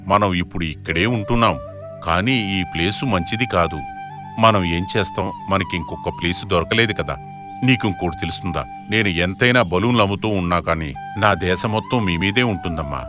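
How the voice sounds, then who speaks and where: A man playing a poor, gentle father in an animated moral story, speaking humbly and earnestly. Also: low voice, brisk, over music. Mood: earnest, reassuring.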